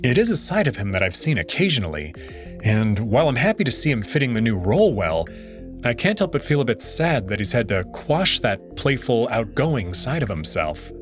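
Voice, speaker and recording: Expressive man reading a visual novel. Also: mid-range voice, normal speed, over music. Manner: expressive, wry. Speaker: let's play narrator.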